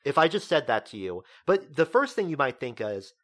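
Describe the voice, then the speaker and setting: A man's voice: on the high side, big pitch swings, fairly quick. A man co-hosting a casual podcast, explaining ideas at length in a lecturing way. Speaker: podcast co-host.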